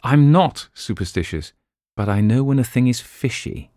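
A man voicing a practical, no-nonsense nurse who speaks in a brisk, matter-of-fact way. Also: medium pitch, animated, warm tone, no room sound, studio quality, voice pushed up, lightly acted. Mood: firm. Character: practical, no-nonsense middle-aged woman nurse.

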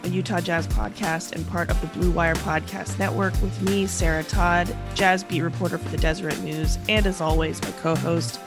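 A woman's voice: lowish voice, fairly even intonation, moderate pace, hardly any pauses, over music. A woman hosting a sports podcast, chatting casually while she is getting a cold and losing her voice. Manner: chatty, laughs easily. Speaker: podcast host, sports beat reporter.

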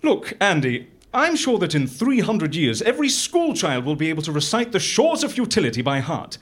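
A man acting in a live audio comedy as a brisk, matter-of-fact fixer who deals with clients in a deadpan, businesslike way. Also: a little high. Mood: animated, businesslike. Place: live on stage at a festival venue.

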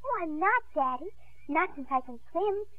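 Eager young girl, very high voice, vintage radio drama, thin muffled broadcast sound. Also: lively intonation, normal speed, dry recording. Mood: earnest pleading. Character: eager, stubborn little Australian girl who loves swimming. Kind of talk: 1950s radio drama acting.

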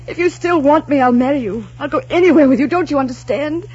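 An actress playing an anguished, pleading young bride, breathless and melodramatic, in 1940s radio drama style. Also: female voice, high voice, fast, darkish tone, close to an everyday voice. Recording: noisy, muffled 1940s radio recording with hum.

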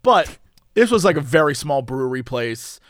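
A man co-hosting a casual comedic podcast, rambling along in a playful, animated way. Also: medium pitch, normal speed, no echo, clean studio sound. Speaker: podcast co-host.

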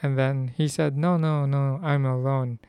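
A young man from the Philippines talks in a hoarse, chatty way, often stopping to restart his sentences. His voice is mid-pitched and smooth under the strain. The sound is close and dry with no room echo. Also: flat delivery, a little slow, a little mellow. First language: Filipino language. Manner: chatty, self-correcting, reads phrases aloud. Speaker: language learner. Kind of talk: casual vlog about learning Korean.

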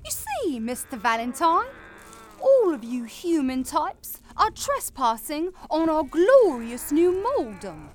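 An actress playing a polite but defiant mole person in an audio drama, speaking in a prim, deliberate way. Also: female voice, high voice, huge pitch swings, exaggerated voice. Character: polite but defiant female mole creature with big claws. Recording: faint background noise.

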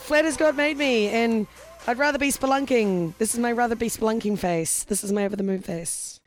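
A woman with a husky voice that she nearly lost, chatting casually and telling jokes in quick, rambling asides. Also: a little high, soft background music. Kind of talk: casual chat.

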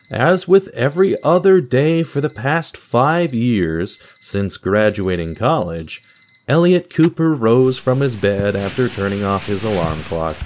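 A man narrating a scripted horror story in a measured, dramatic radio theatre style. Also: mid-range voice, expressive, a little mellow, slight background noise. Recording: music under the voice. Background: music.

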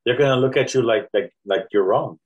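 A man from South Texas with a South Texas accent, a guest poet talking through his thoughts in a relaxed, reflective way with many pauses and restarts. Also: medium pitch, breathy edge, low-quality recording. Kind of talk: casual interview conversation.

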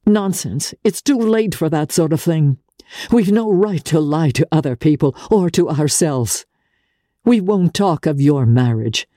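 A woman voicing an impassioned, reckless engaged gentleman in love, urgent and insistent, in a dramatic audiobook reading. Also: sits low, expressive, a little slow, no room sound, studio quality, pitched above the performer's own voice.

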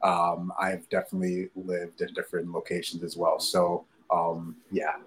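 A man, a startup founder answering interview questions in a measured way with many fillers. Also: low-pitched, completely flat delivery, a little hiss or hum, poor audio. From Nigeria.

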